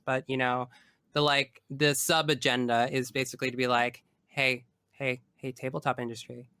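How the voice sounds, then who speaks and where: Bright, clear-voiced man chatting expressively. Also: mid-range voice, no room sound, clean studio sound. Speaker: podcast guest, tabletop game podcast creator. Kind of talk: casual interview chat.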